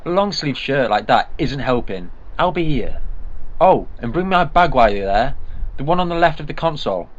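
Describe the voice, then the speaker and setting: A man's voice: mid-range voice, very animated, moderate pace, natural voice. A man voicing a brusque, impatient time-traveling alien. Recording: dull lo-fi recording.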